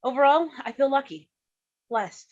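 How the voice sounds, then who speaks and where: An actress playing a weary but grateful working mother, candid and a little halting in a staged reading. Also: high-pitched, lively intonation, a little mellow. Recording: dull, lo-fi microphone sound.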